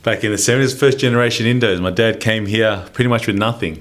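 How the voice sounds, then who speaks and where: An Australian man in his forties, a podcast guest, talking in a relaxed, rambling way with plenty of ums. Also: on the low side, fast. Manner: laid-back, rambling, lots of ums and mates. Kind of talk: casual interview chat.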